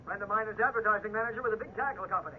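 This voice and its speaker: High-pitched American buddy on telephone. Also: male voice, rapid-fire, narrow, tinny audio. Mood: eager, chummy. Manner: breezy, colloquial. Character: eager, chummy fishing buddy on the telephone. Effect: telephone filter. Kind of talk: 1950s radio drama acting. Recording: thin telephone sound on hissy old radio.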